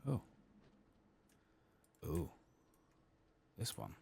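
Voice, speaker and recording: A soft-spoken man murmuring ASMR commentary over a video game playthrough, musing to himself and sometimes dropping toward a whisper. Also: drawn-out words, slight background noise.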